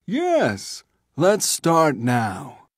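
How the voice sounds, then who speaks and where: A kind, patient old grandpa, voiced by a man from a children's cartoon, speaking gently and warmly urging a child on. His voice sits low and lively in pitch and unhurried, a little off the mic. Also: slightly rough, no room sound, clean studio sound, full character voice. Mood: encouraging. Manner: gentle, encouraging.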